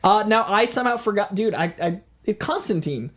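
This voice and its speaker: A man co-hosting an entertainment news show, lively and quick to laugh as he leads into the stories. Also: high voice. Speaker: show co-host. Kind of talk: casual entertainment news chat.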